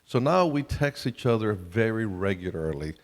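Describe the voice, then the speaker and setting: A man's voice: on the low side, expressive, normal speed. A middle-aged or older man with a Colombian accent, giving a conversational family seminar talk to an audience. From Colombia, South America. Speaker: seminar speaker. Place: large hall at a camp meeting. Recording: reverberant hall sound system.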